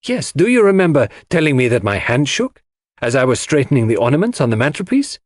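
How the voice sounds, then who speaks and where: A man voicing a clever, confident male detective in an audiobook, explaining his deductions in a deliberate, theatrical way. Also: mid-range voice, sing-song, dry recording. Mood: confident, explanatory. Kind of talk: audiobook character dialogue.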